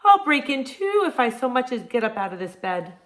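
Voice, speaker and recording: A man's voice: extremely high pitch, sing-song, a little fast, a bit bright, full character voice. A woman voicing a lazy, melodramatic Irish man who whines and wails with self-pity, in an Irish accent. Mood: whining. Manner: whiny, wailing, self-pitying.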